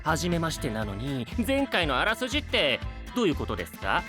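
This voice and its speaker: Polite young knight, high airy voice, very animated, playful, comedic audio drama. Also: male voice, brisk. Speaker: voice actor. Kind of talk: animated comedic character banter. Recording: studio voice over prominent background music.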